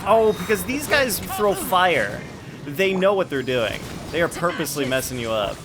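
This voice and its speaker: A man's voice: sits high, very animated, normal speed, background noise. A man livestreaming a video game, chatting casually and rambling as he thinks aloud. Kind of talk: casual live gaming chat and commentary.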